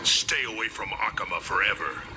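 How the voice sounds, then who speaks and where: An actor playing a stern, heroic guardian who gives formal, commanding warnings in a dramatic game style. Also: male voice, on the low side, moderate pace, close to an everyday voice. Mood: stern, threatening. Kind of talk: dramatic video game acting. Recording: clear background noise.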